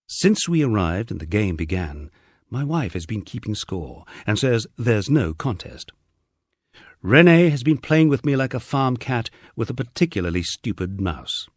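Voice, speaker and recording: A man with a husky voice reading a wry first-person memoir as scripted audiobook narration, dryly humorous and wordy. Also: medium pitch, no room sound, clean studio sound.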